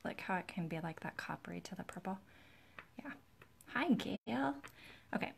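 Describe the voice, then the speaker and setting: A woman hosting a live nail tutorial in a chatty, relaxed way, with a soft, breathy, soothing voice that often drops near a whisper, close to the microphone. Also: on the low side, moderate pace, background noise. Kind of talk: chatty live-stream demonstration, greeting viewers. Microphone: close to the camera's microphone.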